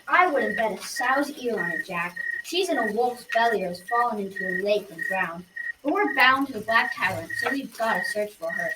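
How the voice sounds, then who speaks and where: A high-voiced, grumbling knight played by a young woman in an amateur radio play. The figure is gruff and boastful, and is heard at a distance from the mic with clear background noise. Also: lively intonation, normal speed, reverberant, lightly acted. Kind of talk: amateur school radio drama acting. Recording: distant mic, noisy room, clicks.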